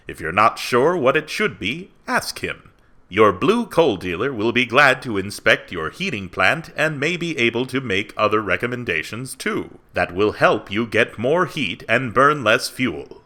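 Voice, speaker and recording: A man's voice: mid-range voice, sharp tone. A man playing an earnest 1940s radio announcer, emphatic and formal like a salesman. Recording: music behind the voice.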